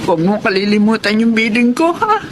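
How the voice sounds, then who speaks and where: Elderly Filipino radio drama mother, woman performer: caring, reassuring, loud noise behind. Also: fairly high pitch, lively intonation, a little fast, lightly acted.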